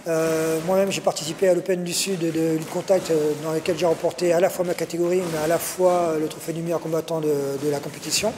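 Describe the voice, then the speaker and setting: A fairly high-voiced male coach talks through his club's results, pausing on fillers and restarting his sentences. He is recorded in a gym with a long booming reverb. A steady hiss sits clearly under him. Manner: hesitant, many fillers and restarts. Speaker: martial arts coach. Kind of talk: interview answers. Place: martial arts gym.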